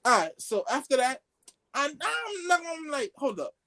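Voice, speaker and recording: A man telling a story among friends on a podcast, excitable and slangy, acting out the dialogue as he goes. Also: very high voice, a little slow. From Haitian background. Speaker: podcast co-host.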